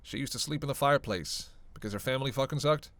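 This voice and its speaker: Man acting a gravelly, teasing vampire rogue. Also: medium pitch, quick pace, a bit bright, dry recording, close to an everyday voice. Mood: wry explaining. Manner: casual, sarcastic, teasing, cursing. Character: teasing, snarky male vampire, playful and self-serving. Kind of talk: roleplay audio drama, one-sided conversation with listener.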